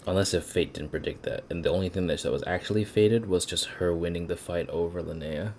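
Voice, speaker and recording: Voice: sits low, fairly quick, darkish tone, slight background noise. A game streamer giving casual, rambling commentary over a playthrough, swearing freely.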